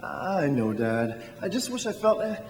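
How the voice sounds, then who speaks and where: A man playing an eager, restless young small-town dreamer in a radio drama, stammering excitedly. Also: fairly high pitch, measured pace, a little hiss or hum. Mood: earnest, frustrated. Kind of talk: stage radio drama acting. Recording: echoey hall with long reverb.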